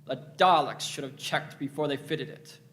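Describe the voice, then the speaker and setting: A man's voice: medium pitch, normal speed, fairly bright tone, slight background noise, natural voice. A man playing a scheming, defensive politician in a sci-fi radio play, speaking in emphatic, self-justifying phrases. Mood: desperate, blaming. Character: scheming, defensive male politician turned desperate ally.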